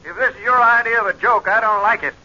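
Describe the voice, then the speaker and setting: A woman's voice: fairly high pitch, fast, pushed and bright, highs cut off. A woman playing a snappy, impatient bureau clerk over a telephone in a 1940s radio drama. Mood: testy. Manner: brusque, scolding. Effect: telephone filter. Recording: thin telephone voice on old radio.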